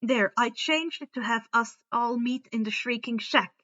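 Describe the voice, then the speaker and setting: Woman voicing an earnest, bright-voiced teenage boy wizard. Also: a little high, no room sound, lo-fi sound. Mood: decisive. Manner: earnest, rushed.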